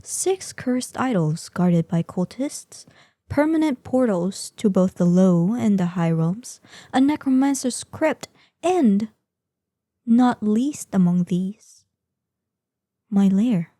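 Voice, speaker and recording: A woman's voice: mid-range voice, lively intonation, dark tone, no room sound, clean studio sound. A woman playing a proud, ancient female dragon in human form, speaking formally and imperiously in a scripted fantasy audio roleplay. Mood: curious, admonishing. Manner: imperious, formal archaic diction, measured.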